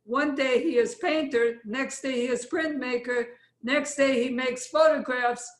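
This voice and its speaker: Woman playing a blunt, high-voiced Russian artist's model. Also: steady tone, a little slow, echoey room. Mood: disdainful. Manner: blunt, clipped broken-English phrasing. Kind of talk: readers theatre play reading.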